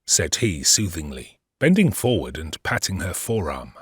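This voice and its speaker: Deep, husky narrating. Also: male voice, sing-song, no room sound, studio quality, full character voice. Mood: measured. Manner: measured, literary storytelling. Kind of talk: scripted audiobook narration.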